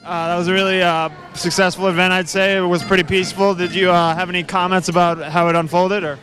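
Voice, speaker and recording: A man interviewing at a street protest, asking hesitant, halting questions full of fillers. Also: high-pitched, flat delivery, over music. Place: outdoors at a city protest.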